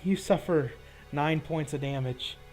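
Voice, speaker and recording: Higher-voiced man running a tabletop game. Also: measured pace, darkish tone. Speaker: game master. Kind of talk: narrating a tabletop fantasy game, improvised. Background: background music.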